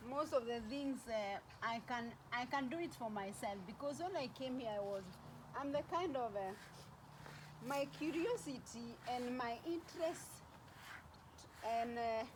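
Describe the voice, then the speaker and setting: A woman's voice: a little high, expressive, a little slow, noisy background. A woman from Kenya with a Kenyan accent chatting casually in a rambling vlog, repeating phrases for emphasis. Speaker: vlogger.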